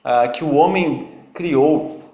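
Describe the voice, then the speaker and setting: A male Bible teacher speaks with a strong foreign accent, his voice sitting fairly high. He keeps the class involved with questions and light teasing. The sound bounces around an echoey church room. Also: slow pace, warm tone, dull audio. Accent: strong non-native accent in Portuguese. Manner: jokey, quizzes and teases students.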